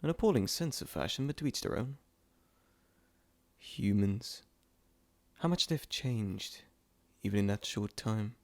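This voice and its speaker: A man's voice: lowish voice, darkish tone, no room sound. A man playing a glib, dry-sounding trickster god. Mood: musing, hushed. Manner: chatty, theatrical, teasing, self-interrupting. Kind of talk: scripted audio roleplay addressed to the listener.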